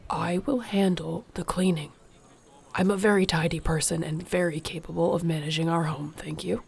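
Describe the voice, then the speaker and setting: Woman voicing an orc warrior girlfriend, audio roleplay, soft and breathy, gravelly creak, even pitch, protective, earnest, devoted. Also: normal speed, exaggerated voice. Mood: caring, firm. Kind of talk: intimate scripted audio roleplay.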